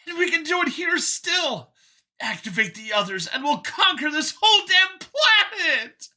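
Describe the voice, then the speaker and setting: Man voicing a shrill, grandiose young cyborg fanatic. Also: sing-song, a little slow, exaggerated voice. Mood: smug, zealous. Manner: grandiose, emphatic, forceful. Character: fanatical young cybernetic clone, smug, grandiose male machine.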